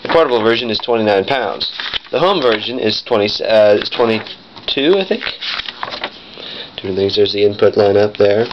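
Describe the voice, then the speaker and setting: A man's voice: lowish voice, animated, normal speed. A man giving a casual, rambling product demonstration, often correcting himself as he goes. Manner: rambling, off-the-cuff, self-correcting. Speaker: vintage audio collector demonstrating equipment. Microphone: handheld camera. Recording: dull lo-fi camera mic with noise.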